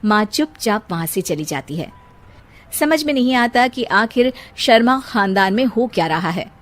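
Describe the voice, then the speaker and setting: A woman's voice: mid-range voice, lively intonation, measured pace, background noise. A woman reading scripted narration for a family moral-story cartoon.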